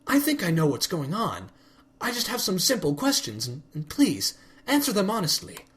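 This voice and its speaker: A young night princess pony with a bright, high and lively voice, plain-spoken and earnest, played by a man reading aloud. Her storybook voice sits well above the narration. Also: normal speed, heavily acted.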